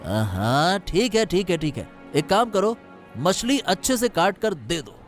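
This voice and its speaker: Very high, animated haggling village man acted. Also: unhurried, faint music behind. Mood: giving in. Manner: insistent, bargaining, familiar. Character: haggling, well-off village male fish customer. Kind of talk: Hindi cartoon moral story dialogue.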